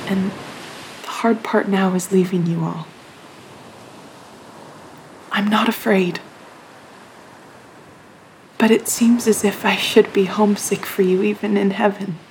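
Actress as frail nineteen-year-old sister for period radio play: gentle, resigned, halting, medium pitch, noisy hiss behind. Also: female voice, fairly even intonation. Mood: resigned, wistful. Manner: soft-spoken, halting, earnest. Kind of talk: audio drama acting of a period novel.

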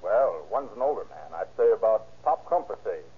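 An actor playing an excitable, suspicious chatterbox who talks in insistent folksy slang in an old-time radio crime drama. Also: male voice, on the low side, normal speed, slightly rough, exaggerated voice. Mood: suspicious, excited. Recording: muffled, phone-like vintage radio recording.

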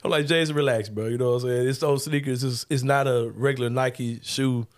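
A man's voice: mid-range voice, fairly even intonation, fairly quick. A man, hosting a casual sports talk show in a laid-back, joking, slangy way. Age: 40s. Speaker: podcast host.

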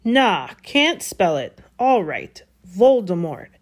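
Storybook giant man, played by a woman: gruff, good-hearted, anxious, folksy dropped consonants. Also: medium pitch, big pitch swings, slow. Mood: grave, uneasy. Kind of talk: storybook character voice.

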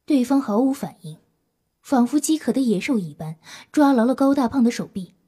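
Husky, high-voiced woman narrating a drama. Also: lively intonation, a little fast, dark tone. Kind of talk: scripted dramatic story narration.

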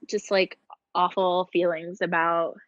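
A woman's voice: on the low side, level tone, slow, a bit bright, no room sound, highs cut off. A young woman co-hosting a podcast in casual chat, rambling and filling her talk with "like". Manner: chatty, rambling, heavy use of "like". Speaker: podcast co-host.

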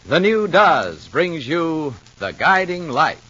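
A male vintage radio announcer with hugely animated, swooping intonation. His delivery is emphatic and polished, slightly rough in tone, on a dull old broadcast recording. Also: fairly high pitch, slow. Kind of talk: 1940s-50s radio announcing, sponsor pitch.

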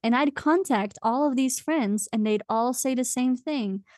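A woman's voice: sits high, a little slow, a little mellow, dry recording, clean studio sound. A woman in her late twenties from Belgium, chatting casually as an interview guest and laughing often. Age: late 20s.

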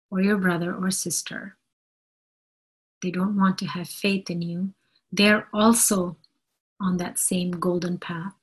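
A woman giving an inspirational spiritual talk in an earnest, deliberate way, phrase by phrase, with a husky, breathy voice. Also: lowish voice, poor audio. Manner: deliberate, earnest, phrase-by-phrase delivery with emphatic repetition.

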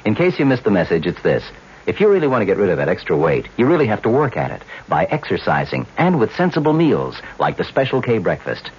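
A man's voice: on the low side, wildly swooping pitch, quick pace, slightly rough. A man working as a commercial spokesman, reading a scripted radio ad in a conversational way. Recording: dull old radio broadcast.

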